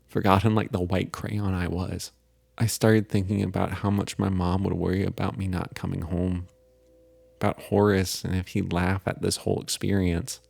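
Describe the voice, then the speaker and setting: A man narrating a dramatic first-person story as a frightened, lonely teenage boy, solemn and full of pauses. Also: sits low, creaky, darkish tone, dry recording, heavily acted. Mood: bleak, despairing.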